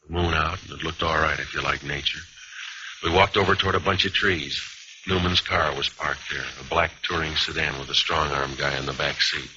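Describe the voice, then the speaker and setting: An actor playing a world-weary American private eye, laconic and dry, in first-person radio noir. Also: male voice, rumbling low, bright, forceful tone, full character voice. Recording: muffled, noisy old radio recording.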